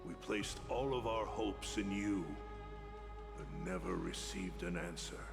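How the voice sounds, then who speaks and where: An actor playing a brooding, grave older retainer who speaks formally and solemnly in a dramatic video game. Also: male voice, low voice, a little slow, gravelly. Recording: long reverb under game music.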